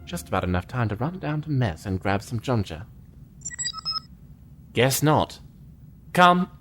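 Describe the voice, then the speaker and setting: A man playing a starship lieutenant, earnest, polite and measured. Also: mid-range voice, big pitch swings, a bit bright, soft background music. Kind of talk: fan audio drama acting.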